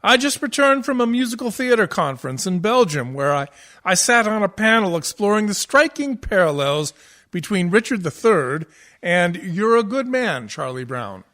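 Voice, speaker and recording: An actor playing a pompous, erudite scholar of musical theater who lectures pretentiously, in a comic radio play. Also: male voice, sits high, sing-song, moderate pace, sharp tone, heavily acted. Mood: self-satisfied.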